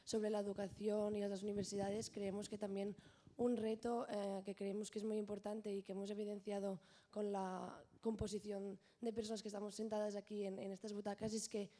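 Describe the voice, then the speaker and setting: A young woman from a student association, making measured, slightly hesitant remarks at an assembly. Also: mid-range voice, flat delivery, dark tone. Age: young adult.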